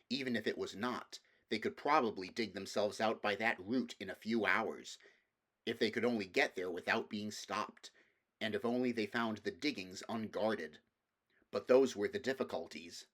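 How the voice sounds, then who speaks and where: A man's voice: lowish voice, normal speed, fairly bright tone. A man reading a fantasy novel aloud as scripted storybook narration. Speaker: amateur audiobook reader.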